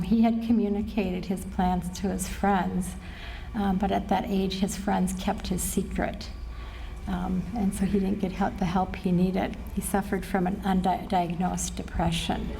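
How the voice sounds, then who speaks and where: Mellow middle-aged American woman presenting. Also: mid-range voice, creaky, slight background noise. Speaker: suicide prevention trainer and presenter. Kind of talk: training presentation to a live group. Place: presentation room at a care facility.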